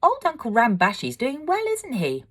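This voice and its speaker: A cheerful storybook boy, put on by a woman, with a raised pitch. He chatters along in a lively way. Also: normal speed, breathy edge, full character voice. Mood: excited.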